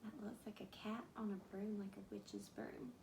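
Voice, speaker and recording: A woman teacher reading a picture book aloud to children, gently pointing out the pictures and explaining. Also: mid-range voice, level tone, moderate pace, vocal fry, noisy background.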